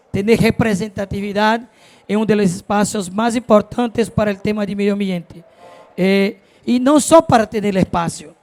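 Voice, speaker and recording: A man's voice: high-pitched, darkish tone. A man with a Brazilian Portuguese accent and native Portuguese, giving impassioned panel remarks in Spanish mixed with Portuguese words. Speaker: recyclers' movement leader, panel speaker.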